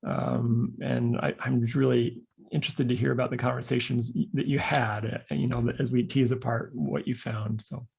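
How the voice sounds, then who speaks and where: A male interview host with a gravelly, husky voice. He encourages his guest warmly and asks his questions haltingly, with plenty of "um" and "uh". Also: mid-range voice, little pitch movement. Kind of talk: casual interview conversation.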